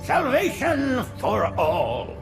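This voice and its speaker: A sanctimonious alien prophet in a video game. The voice is high and crackly, with lively swings in pitch. He preaches reverently and zealously, with music clearly behind him. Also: drawn-out words, exaggerated voice. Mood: pious satisfaction. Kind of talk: dramatic video game cutscene acting.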